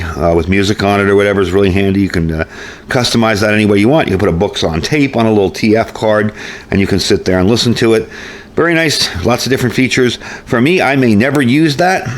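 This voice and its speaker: A man giving a casual, chatty product review with informal, folksy phrasing. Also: lowish voice. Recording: clear background noise.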